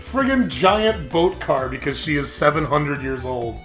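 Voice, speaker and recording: A young man hosting a gaming show, chatting casually and telling animated, jokey stories with frequent laughter. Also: on the high side, slow, over music. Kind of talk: casual let's-play commentary with dramatic read-alouds.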